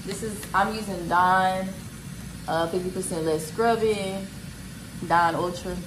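A woman's voice: mid-range voice, fairly even intonation, a little slow. A young woman chatting to viewers as she washes dishes and tidies her apartment kitchen. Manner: chatty, talks to viewers while washing dishes. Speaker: cleaning vlogger. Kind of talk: casual clean-with-me narration. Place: small apartment kitchen, indoors. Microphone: handheld phone camera, recorded from a distance. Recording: echoey kitchen, distant phone mic, loud background noise.

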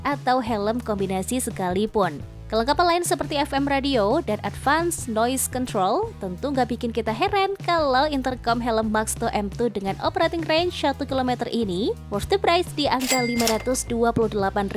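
A woman's voice: fairly high pitch, lively intonation, normal speed. A woman reading a scripted promotional product review voice-over in a chatty, persuasive manner. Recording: music bed under studio voice-over. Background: background music.

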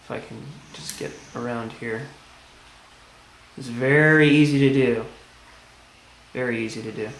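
Man, even delivery, casual tutorial, plain step-by-step explaining, roomy sound with light background noise. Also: medium pitch, unhurried, long pauses. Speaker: tutorial presenter. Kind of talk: casual hands-on how-to demonstration. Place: indoors at a tiled tabletop. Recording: echoey room, background noise.

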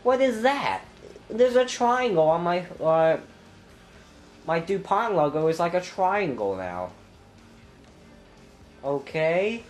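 A young man with a congested, stuffy voice talks slowly and loosely as he plays a racing game, trailing off into ums and breaking into loud yelps. He sounds clogged up and under the weather. Faint game music runs underneath. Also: high voice, big pitch swings. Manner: rambling, thinking aloud with many ums, sudden loud exclamations. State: stuffy nose, congested throat. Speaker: gameplay commentator. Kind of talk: casual let's-play commentary.

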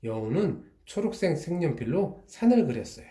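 Gentle, mellow storybook-reading man. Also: fairly high pitch, very animated. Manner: slow, gentle, drawn-out reading. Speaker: father reading to his children. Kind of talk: storybook narration. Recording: echoey room.

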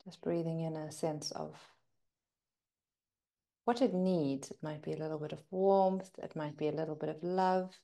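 A woman leading a guided breathing meditation as a teacher, speaking gently and soothingly with lingering pauses. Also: low-pitched, steady tone.

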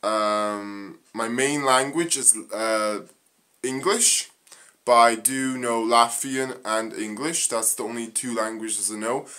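A young man from Northern Ireland in a living room, reading off facts about himself into a phone camera, halting with many ums and uhs. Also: medium pitch, a bit bright. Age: young adult. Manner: halting, frequent ums and uhs, slow and plain. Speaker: vlogger. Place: small living room indoors. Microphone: phone camera at arm's length.

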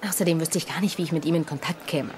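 Actress as fast-talking neurotic young woman. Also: low voice, a little mellow, close to an everyday voice. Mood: nervous, smitten. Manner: nervous, rambling. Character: neurotic, self-conscious young woman, chattering. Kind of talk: German-dubbed TV comedy acting.

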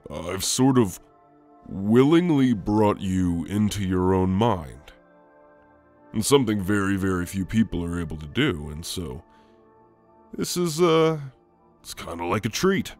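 Man playing a slow, low-voiced gentle shadow demon. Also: animated, soft background music. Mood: calm, explaining. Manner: soft, halting, soothing. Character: gentle male shadowy sleep demon, a shade. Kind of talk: ASMR roleplay, spoken to the listener.

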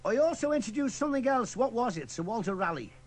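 High, animated man hosting a phone-in quiz. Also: fast talker. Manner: teasing, breaking into laughter, exasperated. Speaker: radio quiz host. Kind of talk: casual phone-in banter.

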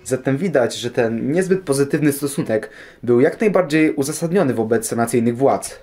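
Man, young, dark mellow tone, husky, scripted informative talk. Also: mid-range voice, expressive, moderate pace, soft background music. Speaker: programme guest.

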